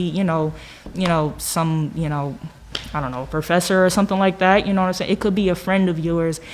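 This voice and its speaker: A young woman speaks in a slightly low register as a podcast panelist. Her delivery is casual and unscripted, often pausing to find the word and leaning on "you know.". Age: young adult. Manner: informal, searching for words, repeats "you know". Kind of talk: casual conversational discussion.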